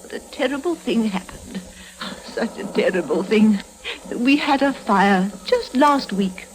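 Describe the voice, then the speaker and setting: A woman's voice: a little high, lively intonation, a little slow, low-quality recording. A woman playing a genteel, talkative, fretful missionary's wife in a vintage radio drama, fluttery and plaintive, on a hissy recording. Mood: worried, plaintive. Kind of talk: 1950s radio drama acting.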